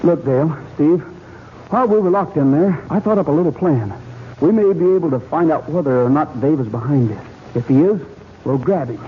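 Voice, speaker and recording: An actor playing a confident, decisive young cowboy hero with a Western American accent in an old-time radio drama. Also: male voice, on the high side, animated, a little fast, close to an everyday voice. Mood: resolute. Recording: tinny, hissy old radio recording.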